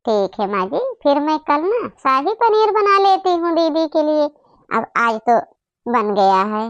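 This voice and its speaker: Meek cartoon young wife serving her in-laws, played by a woman: obliging, deferential, high-pitched, dry recording. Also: sing-song, measured pace, no echo, dull audio. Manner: polite, deferential. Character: obedient, eager-to-please young daughter-in-law. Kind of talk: Hindi family comedy cartoon acting.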